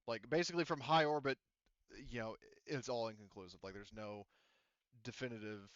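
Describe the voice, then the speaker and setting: A man's voice: mid-range voice, moderate pace, clean tone, dry recording, lo-fi sound. A man acting as game master, explaining the setting in a rambling, casual way with many fillers. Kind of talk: casual tabletop game narration.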